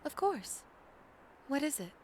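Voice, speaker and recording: Woman playing sweet, gentle dream girl. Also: high-pitched, sing-song, takes it slowly, a little hiss or hum, full character voice. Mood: sincere. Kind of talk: indie audio drama acting. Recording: music under the voice. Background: music.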